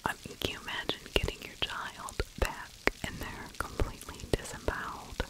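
A woman's voice: moderate pace, rough recording. Whispering middle-aged woman, ASMR storyteller. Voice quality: breathy unvoiced whisper. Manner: conversational, opinionated. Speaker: true crime ASMR host, narrator. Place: small indoor room. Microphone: close microphone with furry windscreen.

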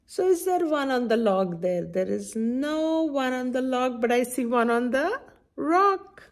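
A middle-aged woman hosts a children's story time with a very lively, swooping delivery. She takes her time, in a fairly high voice, and keeps turning to the young listeners with questions as she reads. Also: measured pace. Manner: expressive, questioning, addressing children directly. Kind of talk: read-aloud picture book storytime for young children. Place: indoor room at home by a window.

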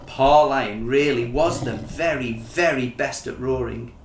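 A middle-aged man reading a children's picture book in an expressive, measured, dramatic storytelling style. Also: mid-range voice, slight background noise. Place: small living room, indoors. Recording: roomy living-room sound.

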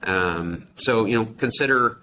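A man's voice: on the low side, measured pace, pushed and bright, poor audio. A man in his forties with an American accent, explaining things conversationally as an industry guest on a video call, piecing his thoughts together. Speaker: industry expert guest, account manager. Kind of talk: casual explanatory interview talk. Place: small plain indoor office. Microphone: video call computer microphone. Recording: clear background noise on video call.

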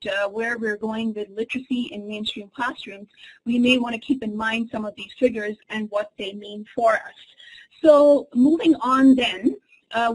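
Breathy-voiced woman giving an academic lecture. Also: on the high side, poor audio. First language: Urdu. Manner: measured, explanatory lecturing to an online audience. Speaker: associate professor presenting a webinar. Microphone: computer microphone in an online webinar.

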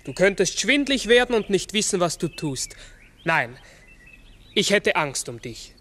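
An actor playing a sad, gentle giant talking raven in a 1960s radio drama, halting, wondering and solemn. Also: male voice, high-pitched, sing-song, full character voice. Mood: puzzled, hesitant. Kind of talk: 1960s German-language radio drama acting.

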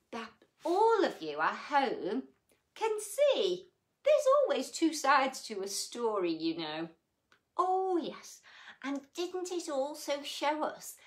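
A middle-aged woman telling a children's bedtime story. She speaks slowly and her pitch swoops widely as she reads. Her asides to young listeners are chatty and full of little tag questions. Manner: expressive, sing-song, with tag questions. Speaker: storyteller reading children's books.

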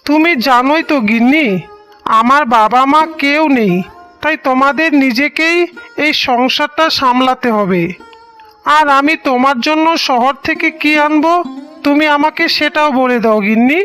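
A woman's voice: high voice, normal speed, fairly bright tone. A woman playing a caring, dutiful young husband in a cartoon tale, speaking earnestly and reassuringly over music. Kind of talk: melodramatic cartoon story dialogue. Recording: music bed under the voice.

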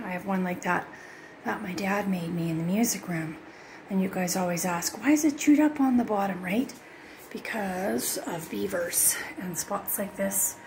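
A middle-aged woman talks in a chatty, upbeat way straight to her viewers, a teacher giving her students a tour of her home. Her mid-pitched voice has a gravelly fry to it. It is recorded on a handheld phone in small rooms, with faint household noise behind her. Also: low-quality recording. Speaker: music teacher. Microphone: phone camera held by hand.

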